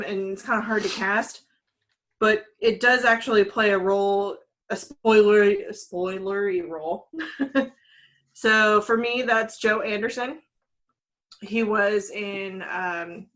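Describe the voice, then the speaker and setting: A woman's voice: mid-range voice, a bit bright. A woman co-hosting a podcast, talking casually as she presents her picks. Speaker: podcast host.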